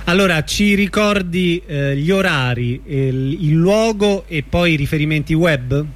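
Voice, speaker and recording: Male radio interviewer, fairly high voice, informal and conversational. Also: expressive, unhurried.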